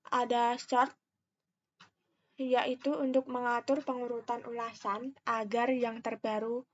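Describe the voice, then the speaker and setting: A young woman speaks in a fairly high voice through a slightly muffled, lo-fi microphone. She presents like a student walking through a tutorial, explaining each step carefully and very slowly, with some hesitation. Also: a bit bright. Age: young adult. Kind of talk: step-by-step screen tutorial narration.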